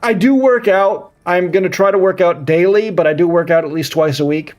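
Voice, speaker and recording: A man's voice: high voice, a little fast, darkish tone. A man in his thirties chatting casually as a live streamer, rambling and often repeating his phrases. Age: 30s. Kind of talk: casual chat with viewers while gaming.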